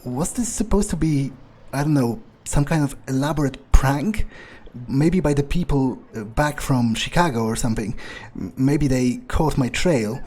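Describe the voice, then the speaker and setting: A man improvising in-character commentary on a video game, thinking aloud in a halting, sweary way with a husky, mellow voice. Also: medium pitch. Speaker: gaming video player.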